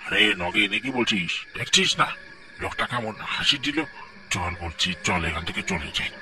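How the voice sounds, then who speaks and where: A man's voice: sits low, measured pace. A man voicing a nervous young male friend in a cartoon, talking in an anxious, rising, pleading way. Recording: distant mic with noisy background.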